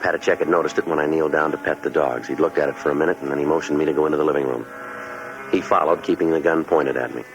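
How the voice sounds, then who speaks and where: An actor playing a dogged, level-headed police detective in a 1950s radio drama, clipped and matter-of-fact. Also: male voice, very deep voice, steady tone, racing, faint music behind. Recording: muffled, noisy old 1950s radio recording.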